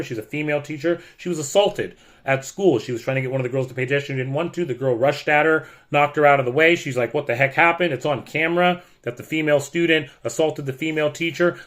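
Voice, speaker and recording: A middle-aged man from the United States talks straight to the camera in a loud, blunt voice. He sounds frustrated and emphatic as he vents. His pitch sits in the middle range. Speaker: teacher. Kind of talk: warning vlog rant.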